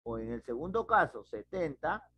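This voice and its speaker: A man's voice: on the high side, sing-song, moderate pace, pushed and bright, slight background noise. A middle-aged male math teacher, patiently walking students through a problem at a whiteboard in a small home room, wearing a headset. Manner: patient, explains step by step with questions. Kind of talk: online class at a whiteboard. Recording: dull lo-fi video call audio.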